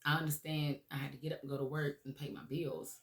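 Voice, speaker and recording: Raspy lady radio host, encouraging talk, kinda flat delivery, echoey. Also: deep voice, fast. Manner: earnest, encouraging, frequent "okay?" and "um". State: raspy, recovering from laryngitis. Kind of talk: motivational talk show monologue.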